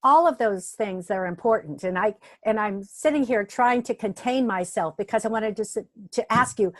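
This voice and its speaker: Woman interviewer, eager, affirming, mid pitch, dry close sound. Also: normal speed, no room sound. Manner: enthusiastic, affirming, repeats herself. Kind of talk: interview questions.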